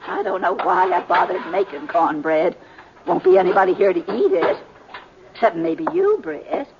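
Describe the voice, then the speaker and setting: A woman's voice: fairly low pitch, lively intonation, normal speed. An actress playing a fussy, motherly older American boarding-house woman in an old-time radio Western, with folksy rustic speech. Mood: hospitable, flustered. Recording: muffled, noisy old radio recording.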